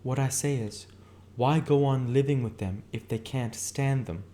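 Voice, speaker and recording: A man's voice: medium pitch, unhurried, dark tone, voice pushed up, close to an everyday voice. A man voicing a gossipy, opinionated young woman in an audiobook, confiding and insistent. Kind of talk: audiobook character dialogue.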